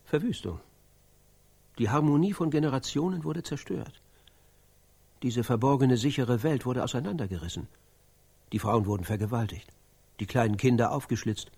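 An actor playing an intense man who grimly recounts atrocities in a radio drama, speaking in deliberate, halting phrases with a husky voice. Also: medium pitch, lively intonation, a little mellow. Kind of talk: German radio drama dialogue.

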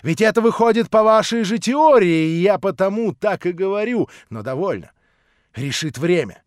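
A man reading an audiobook voices an older nobleman, lowered, measured and cool. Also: lively intonation, dry recording, clean studio sound. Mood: cold. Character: older nobleman, cold, condescending and smooth.

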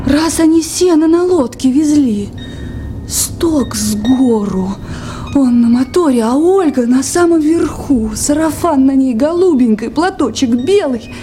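A woman's voice: high-pitched, warm tone. An actress playing an anxious, emotional young woman at a remote river station in a Soviet radio play. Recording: noisy 1980s radio drama recording.

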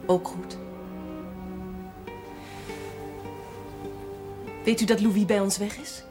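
A woman playing a sincere young village woman burdened by self-blame. Her mid-pitched voice is earnest and confiding, with clear music behind it. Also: steady tone, normal speed, natural voice. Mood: polite, hesitant. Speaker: actress in a television drama.

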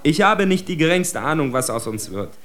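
A man's voice: on the high side, big pitch swings, normal speed, fairly bright tone. Bitter, emphatic spokesman, faint music. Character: bitter analytical male spokesman for immigrant outcasts. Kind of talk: declamatory literary radio monologue.

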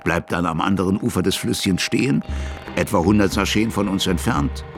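An actor playing a gruff, kindly old army officer who tells his tales colloquially and vividly in a radio play. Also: low voice, moderate pace, music in the background. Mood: reflective narrating. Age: older. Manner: colloquial, vivid, dramatic storytelling.

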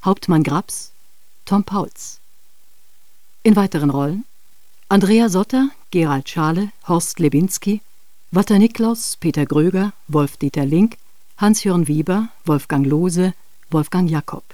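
A woman announces radio drama credits in a mellow, dark voice. Her scripted reading is slow and measured, recorded very dry. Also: on the low side, no echo.

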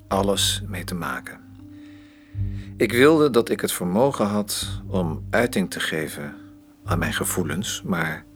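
An actor playing a troubled, articulate middle-aged politician in a radio drama, speaking haltingly. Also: male voice, sits low, fairly bright tone, full character voice. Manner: halting, repeats himself when pressed.

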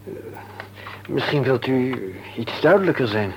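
An actor playing a modest, courteous gentleman in a radio play, speaking politely, formally and with some hesitation. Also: mid-range voice, animated, a little slow. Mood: cautious, polite. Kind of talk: Dutch radio play acting. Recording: faintly noisy old radio recording with hum.

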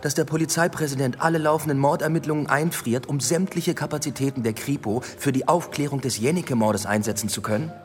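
An actor playing an ambitious police inspector in a radio drama, terse, matter-of-fact and probing. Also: male voice, mid-range voice, fast, hardly any pauses, long reverb tail, natural voice. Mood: pressing, persuasive. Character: driven, ambitious male police detective inspector. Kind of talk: naturalistic German radio drama dialogue. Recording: faint music bed behind the voice.

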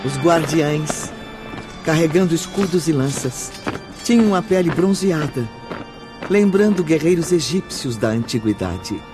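A Brazilian man narrating a spiritual radio drama solemnly, with measured dramatic pauses. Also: on the high side, animated. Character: solemn male storyteller of a spiritual tale. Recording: music bed behind the voice.

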